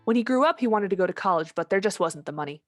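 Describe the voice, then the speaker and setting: Woman playing a quick-talking senior angel. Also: medium pitch, expressive, no echo, soft background music, lightly acted. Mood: storytelling. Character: brisk, authoritative senior angel giving orders. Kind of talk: old-time radio play acting, storytelling.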